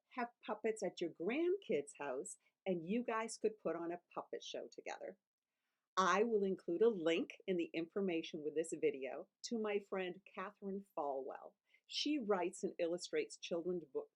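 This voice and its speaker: An older woman hosting a casual video, talking in a playful, encouraging way. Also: fairly low pitch. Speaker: children's author and host. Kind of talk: casual vlog for grandparents.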